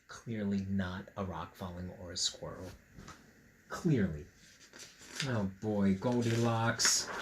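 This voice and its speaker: A man reading a picture book aloud to children, playful and knowing, with chatty asides and dramatic pauses. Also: mid-range voice, a bit bright. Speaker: storyteller, school read-aloud host.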